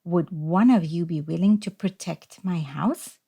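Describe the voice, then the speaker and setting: A woman's voice: on the low side, measured pace, creaky, slightly rough, exaggerated voice. A woman voicing a timid, polite hermit crab in a children's storybook, earnest and courteous. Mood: admiring, polite. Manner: gentle, earnest, courteous questioning.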